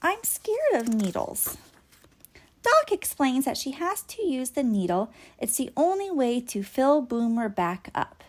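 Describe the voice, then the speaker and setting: A woman narrates a children's storybook in a fairly high voice. Her reading is very expressive and lively in pitch, and she takes it slowly so small listeners can follow. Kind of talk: expressive read-aloud narration for young children.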